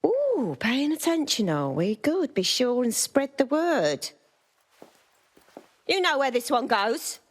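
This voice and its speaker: An actress playing a ruthless female London crime boss in a video game, with a husky voice and a mock-tender, condescending manner. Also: sits high, very animated, takes it slowly, heavily acted. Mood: commanding, menacing. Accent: English, London. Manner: mock-tender, calls people love and sweetheart. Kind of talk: dramatic video game acting.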